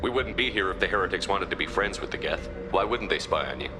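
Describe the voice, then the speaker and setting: An actor playing a steady, pragmatic military commander in a science fiction video game, direct and level-headed. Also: male voice, deep voice, quick pace, music in the background. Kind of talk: video game character acting.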